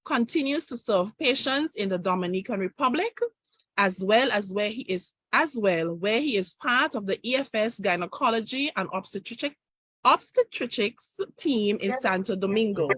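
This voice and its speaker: A woman, hosting an online session and reading a formal, measured introduction of a guest. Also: mid-range voice, animated, a bit bright, no room sound. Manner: reads a prepared introduction, measured. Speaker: host.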